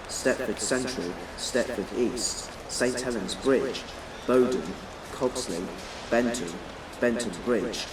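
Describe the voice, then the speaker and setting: A man reading scripted train announcements as a railway station announcer, in measured, list-like phrasing. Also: mid-range voice. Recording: echoey, noisy, distant station PA sound.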